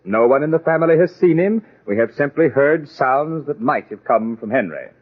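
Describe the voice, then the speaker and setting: An actor playing a dry, sardonic middle-aged father, deadpan and formal, in a 1940s radio comedy. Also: medium pitch, moderate pace, natural voice. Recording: thin, lo-fi old radio recording.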